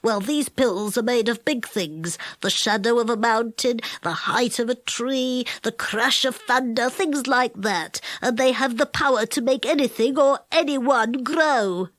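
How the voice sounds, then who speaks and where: Lady doing a slow patient goblin guy, kinda strange timbre, dry sound. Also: a little high, a bit bright, dry recording. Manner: slow, patient and matter-of-fact. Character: slow-spoken male goblin pill maker.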